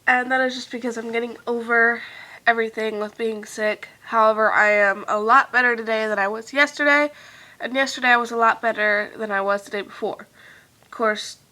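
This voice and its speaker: Bright-voiced young woman with a stuffed nose. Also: a little high, steady tone, brisk. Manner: rambling and chatty with many fillers, trailing off softly. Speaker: vlogger. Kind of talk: casual vlog chat to viewers.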